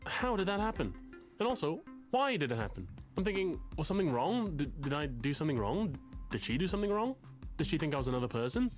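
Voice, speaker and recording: An actor playing an anxious, bookish, self-pitying man in a game, talking in a wordy, halting, confessional way. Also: on the high side, very animated, soft background music. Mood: fretful, flustered. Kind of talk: confessional dramatic game dialogue.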